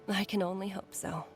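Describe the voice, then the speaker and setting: An actress playing a caring, worried mother in a game, speaking gently and earnestly. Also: mid-range voice. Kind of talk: scripted game dialogue.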